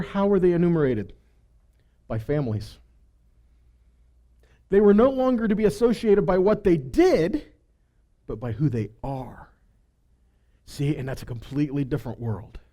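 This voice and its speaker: A man's voice: high voice, big pitch swings, moderate pace, long pauses, mellow. A man giving a conversational sermon as a preacher, teaching with rhetorical questions and frequent check-in tags.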